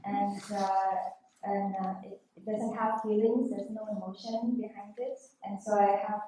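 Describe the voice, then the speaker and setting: Woman presenter, Vietnamese first language, slow and halting, mellow tone, far-off echoey hall. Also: mid-range voice, fairly even intonation. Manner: hesitant, many ums and uhs. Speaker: type design student presenting her typeface. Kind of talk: conference presentation. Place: large hall, at a lectern. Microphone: lectern mic, camera far off.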